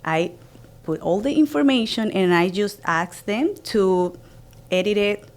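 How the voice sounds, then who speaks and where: A woman's voice: mid-range voice, unhurried. A woman hosting a radio show, asking questions and chatting casually with her guests. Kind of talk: casual conversational interviewing.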